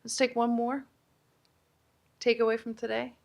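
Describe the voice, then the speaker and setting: A woman's voice: a little high, level tone, measured pace, gravelly, muffled recording. A woman moderating a live audience discussion at a conference, energetically prompting the room for takeaways. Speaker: summit facilitator.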